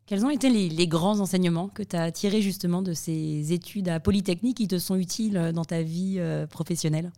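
Female podcast host, lowish dark voice, informal conversational questioning. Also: a little fast, few pauses. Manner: informal, addresses guest familiarly.

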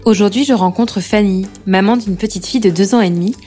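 French woman hosting, faint music behind. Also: medium pitch. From France. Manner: measured, gently hesitant questioning. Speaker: podcast host and journalist. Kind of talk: scripted intro then interview questions.

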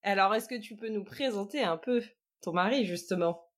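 A woman's voice: mid-range voice, moderate pace. A woman hosting a podcast, leading the interview with friendly, guiding questions. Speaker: podcast host.

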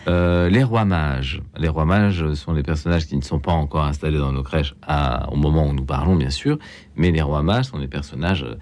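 Deep-voiced male radio host. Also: moderate pace, darkish tone, no room sound. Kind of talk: live radio phone-in discussion.

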